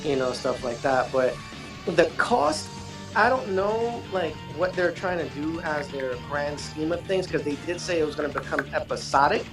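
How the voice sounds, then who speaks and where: A man's voice: fairly high pitch, animated, normal speed. A man speaking as a guest in a casual discussion, in an informal, conversational way. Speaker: guest panelist. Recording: voice under clearly audible music. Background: music.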